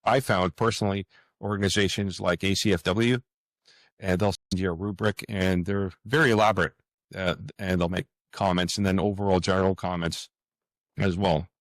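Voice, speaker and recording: A man's voice: sits low, fairly even intonation, normal speed, no echo. A man speaking reflectively as an interview guest, earnest and hesitant, often repeating words and phrases. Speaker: author, podcast guest.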